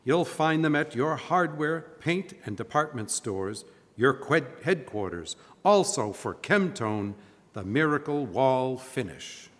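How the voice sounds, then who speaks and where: A man's voice: mid-range voice, very animated, slow pace. A man playing an old-time radio announcer, delivering scripted sponsor copy and dramatic narration in 1940s style. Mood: salesmanlike. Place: church, live stage performance. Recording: live in echoey hall.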